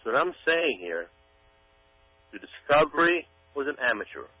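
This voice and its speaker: A man's voice: mid-range voice, big pitch swings, moderate pace, husky, dry recording. Man giving emphatic commentary, phone-like sound. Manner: emphatic, dramatic, repeats himself for effect. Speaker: guest commentator. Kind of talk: commentary monologue addressed to listeners. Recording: tinny phone-like radio sound with hum.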